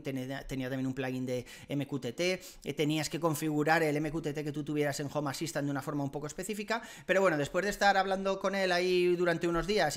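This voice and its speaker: Male tech vlogger, 40s, Castilian Spanish accent, fairly high-pitched, conversational and colloquial, recorded at home on a close mic. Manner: conversational, colloquial, with frequent tag questions. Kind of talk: casual explainer to camera. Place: furnished living room at home. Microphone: desk microphone close in front.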